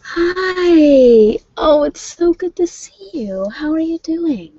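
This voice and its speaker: Woman acting as friendly female energy healer, ASMR role play, gentle, attentive, reassuring, dry slightly dull sound. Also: high voice, expressive, slow, no room sound, voice pushed up. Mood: cheerful, caring.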